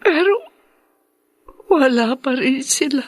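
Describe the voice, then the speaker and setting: A woman's voice: high voice, animated, no echo. An actress playing a frail, sorrowful older mother pleading prayerfully in a Filipino radio drama. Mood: tearful pleading. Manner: prayerful, pleading, drawn-out delivery. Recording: dramatic music behind the voice.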